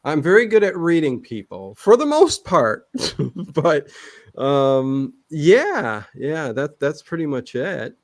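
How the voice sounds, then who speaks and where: A man's voice: fairly high pitch, big pitch swings, slow pace, no room sound. A man chatting casually as an interview guest, rambling and hesitant, often repeating words as he works out a thought. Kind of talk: casual personal chat.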